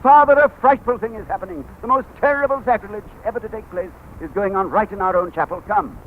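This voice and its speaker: A man's voice: high-pitched, fast talker, narrow, tinny audio, slight background noise, voice pushed up, lightly acted. A man playing a frightened, portly monk in a 1940s radio drama, urgent, breathless and melodramatic. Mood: panicked, urgent. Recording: 1940s radio broadcast with music.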